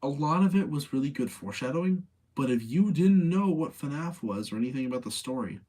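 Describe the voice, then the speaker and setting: A man's voice: on the high side, lively intonation, a little fast, warm tone. A man chatting casually as a livestream host, giving opinionated, rambling commentary and repeating his points for emphasis. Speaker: livestream host reviewing movies.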